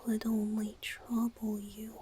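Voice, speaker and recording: A woman voicing a tender, playful young male bard spirit in a soft, breathy whisper for a romantic ASMR roleplay, speaking in a halting, heartfelt way. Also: medium pitch, completely flat delivery, slight background noise, lightly acted. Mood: tender, confessional.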